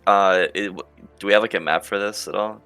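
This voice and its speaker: A man's voice: lowish voice, fairly even intonation, a little fast, sharp tone, soft background music. A man chatting casually as a player in a tabletop roleplaying game.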